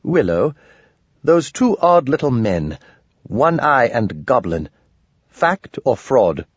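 A man's voice: mid-range voice, very animated, slow pace, breathy edge, no room sound, muffled recording, exaggerated voice. A man voicing an imperious, impatient noblewoman who speaks in terse demands in an audiobook. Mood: probing.

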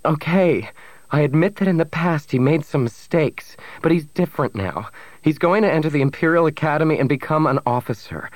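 A man's voice: a little high, expressive, brisk, no room sound, voice pushed up, natural voice. A man voicing a young woman, an earnest, pleading daughter in a story. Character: young woman, daughter fiercely defending her lover.

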